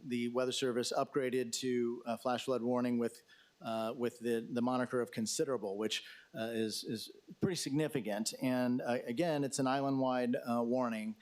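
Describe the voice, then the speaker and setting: A man's voice: mid-range voice, a little mellow. A man, an emergency management official, answering council questions at a briefing, hesitant with many fillers and restarts. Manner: addresses questioner as sir.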